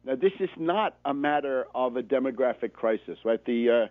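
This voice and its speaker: A man's voice: medium pitch, lively intonation, normal speed, no echo. An American man giving political radio commentary like a lecture, didactic and emphatic, hammering his points with insistent repetition. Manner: didactic, often checks with "right?". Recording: narrow phone-like radio audio.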